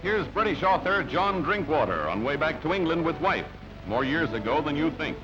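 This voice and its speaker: A man with a fairly high, swooping voice reads newsreel copy. His delivery is jaunty and theatrical, in announcer style. The soundtrack is dull and band-limited, with music playing behind him. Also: sing-song, moderate pace. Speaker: newsreel narrator. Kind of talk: scripted newsreel narration. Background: background music.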